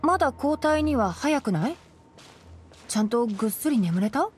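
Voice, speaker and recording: A woman playing a calm, studious young fox-eared male ranger in a Japanese video game, speaking in a measured, articulate, gently admonishing way. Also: fairly high pitch, soft background music, lightly acted. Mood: gentle concern.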